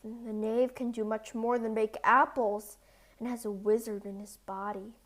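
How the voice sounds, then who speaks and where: A woman's voice: a little high, level tone, measured pace, close to an everyday voice. A woman voicing a huge, foolish, easily scared male giant in a storybook, with a growling, blustering manner. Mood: growling, alarmed.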